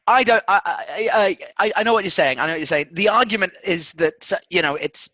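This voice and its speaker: Bright-voiced man chatting about football. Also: sits high, animated, brisk, dry recording. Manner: repeats words and phrases, agreeable. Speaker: football podcast co-host. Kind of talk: casual chat about football.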